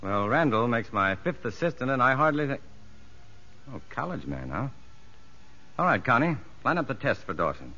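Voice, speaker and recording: Expressive American prison doctor narrator. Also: male voice, medium pitch, exaggerated voice. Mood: reassuring. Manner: measured, educated, authoritative. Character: firm, humane male prison doctor, first-person narrator. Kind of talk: 1950s radio drama acting and narration. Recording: muffled vintage radio broadcast with music.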